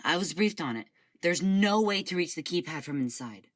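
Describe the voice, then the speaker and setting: A woman's voice: on the low side, expressive, moderate pace. A woman playing an earnest, insistent crew member aboard a ship.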